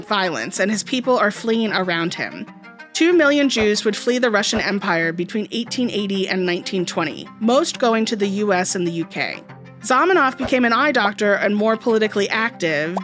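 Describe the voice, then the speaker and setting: A woman's voice: medium pitch, a bit bright. A woman hosting an educational video, narrating history from a script in a storytelling way with dry, wry asides.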